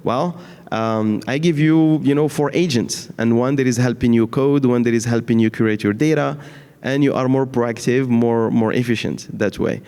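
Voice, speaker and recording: A man talks as an expert on a conference panel. His pitch is average for a man, and his delivery is unscripted, often pausing to hunt for words. The sound has the long reverb of a big hall. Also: mellow, smooth. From Morocco and France. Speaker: panelist, data science leader in healthcare.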